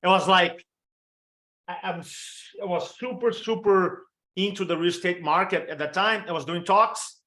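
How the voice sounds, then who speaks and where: A fairly high-voiced man in his fifties with a Brazilian Portuguese accent, coaching an audience on a webinar. He talks with energy and rattles off lists of examples. The sound comes through a video call. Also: moderate pace, bright, forceful tone. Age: 50s. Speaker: motivational speaker and storytelling coach. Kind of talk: training talk on a webinar.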